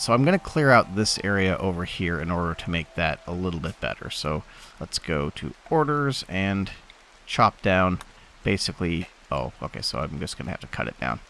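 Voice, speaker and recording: A man's voice: sits low, gravelly. Stuffy-nosed man rambling through a game. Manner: rambling, thinking aloud with many fillers. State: stuffy from allergies. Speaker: host. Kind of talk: casual gameplay commentary.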